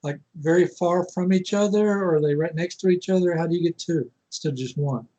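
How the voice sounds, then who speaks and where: A man's voice: a little high, brisk, dark tone, highs cut off. A man hosting a casual interview, encouraging and agreeable with his guest. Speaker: podcast host.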